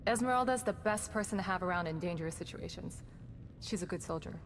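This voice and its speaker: Woman playing composed professional in echoing hall. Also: lightly acted. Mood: matter-of-fact. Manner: measured, matter-of-fact. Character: composed, professional female organization psychologist in horror game. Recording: reverberant hall with rumbling noise.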